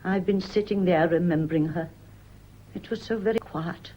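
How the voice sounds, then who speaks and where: An actress playing a deferential, mournful old maidservant in a radio play, with a wistful, lingering way of speaking. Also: female voice, on the low side, moderate pace, mellow, close to an everyday voice, rough recording. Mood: wistful, pointed. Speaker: radio drama actor.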